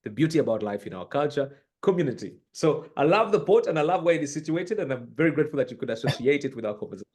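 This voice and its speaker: A man's voice: a little high, expressive, rapid-fire, few pauses, darkish tone, husky. A man from northern Uganda, an executive guest on an interview podcast, talking earnestly and emphatically about purpose, with frequent fillers. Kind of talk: reflective conversational interview. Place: room with books behind him.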